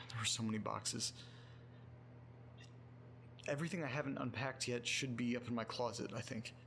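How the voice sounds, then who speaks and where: A man playing a muddled, self-deprecating guy muttering sweary, halting asides to himself in a horror audio drama. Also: medium pitch, fairly even intonation, creaky, bright, forceful tone. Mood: weary, self-berating. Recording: noticeable background noise with hum.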